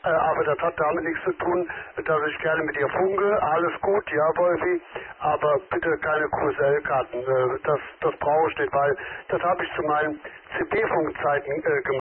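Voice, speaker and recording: A man's voice: a little high, steady tone, unhurried, a little hiss or hum. A man chatting on an amateur radio contact, polite and hesitant, filling his sentences with many filler sounds. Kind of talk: casual radio contact chat. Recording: tinny radio transmission with crowd behind.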